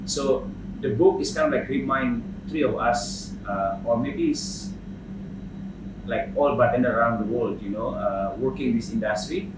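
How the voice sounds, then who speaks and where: A middle-aged Indonesian man with an Indonesian accent, a bartender talking in an interview, halting with many fillers and repeated words. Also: mid-range voice, noisy background. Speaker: bartender and bar founder. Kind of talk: interview monologue. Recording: roomy sound from a distant mic, clear background ambience.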